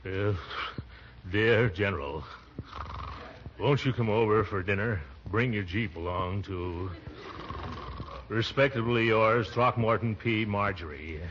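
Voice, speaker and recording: An actor playing a pompous, flustered middle-aged uncle who blusters and mutters in a 1940s radio sitcom. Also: male voice, on the low side, slow pace, pauses often, a bit bright. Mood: groggy, muttering. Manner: blustering, muttering, sputtering with chuckles. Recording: muffled, very noisy 1940s radio recording.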